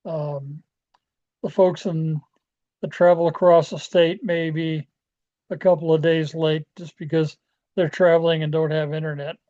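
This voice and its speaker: A dull, lo-fi recording of a man with a dark, mellow voice. He gives an informal training talk in a folksy, plain-spoken way, often stalling on hesitations as he goes. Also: on the high side, flat delivery, unhurried. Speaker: amateur radio club presenter. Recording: dull, lo-fi audio.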